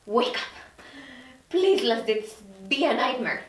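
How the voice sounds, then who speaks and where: A woman's voice: on the high side, expressive, slow pace. A woman playing an incredulous, insistent newly dead woman of forty at a drama table read. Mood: sceptical. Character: indignant, disbelieving forty-year-old woman newly dead. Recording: roomy echo, distant mic, faint noise.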